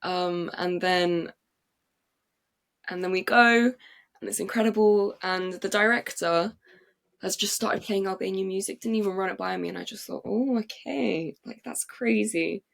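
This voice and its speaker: A young English woman, a playwright and actress, telling her story in an excited, chatty way with many fillers and restarts. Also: mid-range voice, measured pace, creaky. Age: young adult. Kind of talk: casual interview storytelling. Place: podcast recording.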